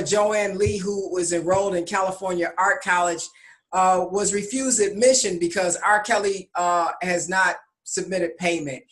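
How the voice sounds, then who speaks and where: A man hosting a casual podcast who reports news items, pausing on fillers between phrases. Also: high-pitched, fairly bright tone. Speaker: podcast host.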